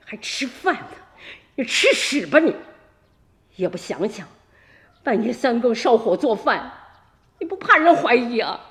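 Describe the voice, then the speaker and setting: A woman's voice: a little high, big pitch swings, a little slow, long pauses, fairly bright tone. An actress, scolding her son sharply. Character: stern village mother, sharp-tongued and scolding. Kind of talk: radio drama acting.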